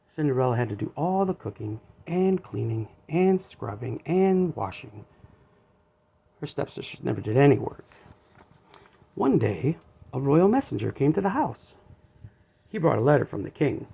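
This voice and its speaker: A man's voice: mid-range voice, very animated, moderate pace, gravelly, long pauses, warm tone, slightly rough. A man narrating a children's storybook aloud.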